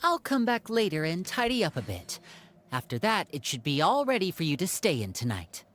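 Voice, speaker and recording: A woman voicing a gentle, polite young hostess from a secretive household, heard as game audio. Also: on the low side, wildly swooping pitch, moderate pace, exaggerated voice. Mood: courteous. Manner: soft, courteous, welcoming. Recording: game audio with faint music.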